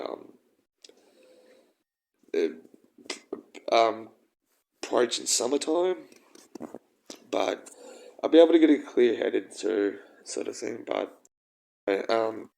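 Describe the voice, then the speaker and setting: A young man with a croaky voice, rambling and halting through a casual personal vlog. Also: mid-range voice, darkish tone, rough recording. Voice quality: croaky, gravelly. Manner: rambling, halting, with frequent ums and swearing. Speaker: vlogger.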